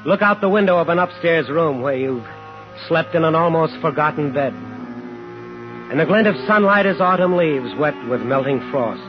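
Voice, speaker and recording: A man's voice: on the high side, expressive, normal speed, music in the background, close to an everyday voice. An actor playing a bitter, relentless man in a 1950s radio drama, terse and sardonic. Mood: brooding narration. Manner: terse, sardonic, needling questions. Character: bitter, relentless man home after years, hunting a killer. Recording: muffled, noisy 1950s radio recording.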